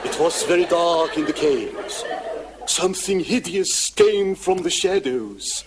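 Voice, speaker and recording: A man's voice: fairly high pitch, animated, slow, background noise. A British man playing a pompous, bossy professor adventurer in a theatrical, melodramatic radio comedy style. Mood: grave narrating. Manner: theatrical, exclamatory.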